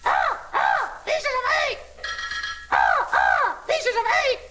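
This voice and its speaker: A man voicing a pirate's parrot for a storybook record, squawking repetitively in a shrill, croaking, drawn-out screech. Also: huge pitch swings, exaggerated voice. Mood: raucous.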